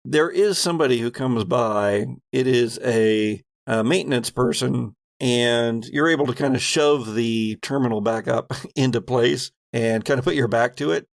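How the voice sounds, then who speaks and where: Gravelly man running a tabletop game. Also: mid-range voice, normal speed, hardly any pauses, no room sound. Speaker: game master. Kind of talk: improvised tabletop game narration.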